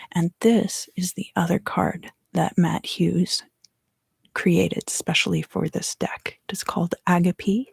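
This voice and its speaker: A woman giving an ASMR card reading for a live stream in a soft, unhurried, often fully whispered voice, close to the microphone. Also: on the low side, creaky, husky, no echo. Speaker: ASMR tarot reader and live stream host.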